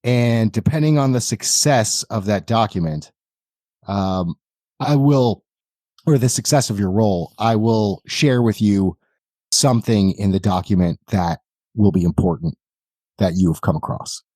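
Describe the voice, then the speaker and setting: Male game master, low and mellow, unhurried, measured delivery with thoughtful halts. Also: no echo. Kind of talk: tabletop game narration, improvised.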